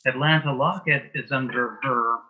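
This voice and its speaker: A man being interviewed as a guest in a casual chat, answering tersely in a dry, deadpan manner. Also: mid-range voice, normal speed, phone-quality sound. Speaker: interview guest, author and actor.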